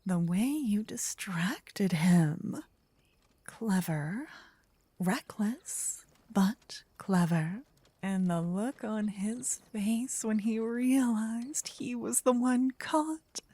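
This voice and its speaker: A woman playing a gentle, possessive, lovestruck vampire in a soft, intimate, breathy ASMR whisper, close to the ear. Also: medium pitch, no room sound. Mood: sly, admiring. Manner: intimate, slow, teasing. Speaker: ASMR roleplay performer. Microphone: close binaural ear-to-ear microphone.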